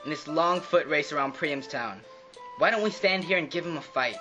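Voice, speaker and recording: A man's voice: a little high, lively intonation, moderate pace, highs cut off, music in the background, close to an everyday voice. A man playing a loyal, rousing brother, a Trojan prince, in a mythological puppet show. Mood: earnest, rousing.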